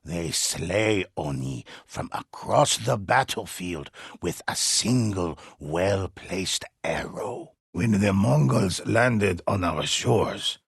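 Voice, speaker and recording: An actor playing a mysterious, solemn older male storyteller in a video game, telling legends in a measured, portentous way with a husky, gravelly voice. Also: deep voice, animated, dry recording, studio quality, heavily acted. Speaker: storyteller narrator. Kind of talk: dramatic scripted storytelling narration for a video game.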